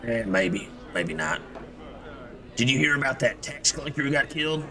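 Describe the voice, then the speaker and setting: A man's voice: mid-range voice, sing-song, moderate pace, pushed and bright. A man giving casual, rambling gameplay commentary as a streamer, jokey and profane. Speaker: video game streamer. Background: game music.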